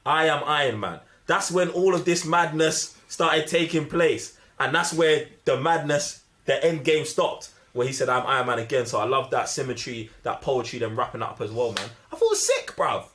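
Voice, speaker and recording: A man's voice: mid-range voice, moderate pace, few pauses, fairly bright tone. A young man with a London accent chatting casually about films in heavy slang, opinionated and emphatic, often repeating himself for effect. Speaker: movie review podcast host.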